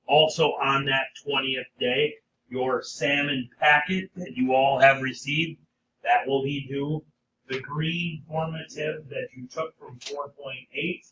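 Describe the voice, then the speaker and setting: A middle-aged male teacher with a hoarse voice, lecturing a class and checking in with frequent "okay?" questions. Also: medium pitch, a bit bright, a little hiss or hum. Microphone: camera at a distance. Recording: roomy, distant, dull classroom sound.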